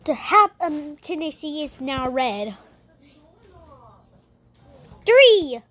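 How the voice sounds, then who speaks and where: A woman reading a scripted mock emergency alert in a halting, repetitive way with broken phrasing. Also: high-pitched, sing-song, a bit bright. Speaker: narrator of an amateur mock emergency broadcast.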